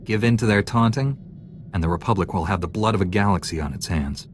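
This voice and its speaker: A man playing a calm, self-assured Jedi knight hero in a video game. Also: fairly low pitch, fairly quick, slight background noise, lightly acted. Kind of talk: video game character acting. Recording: music behind the voice.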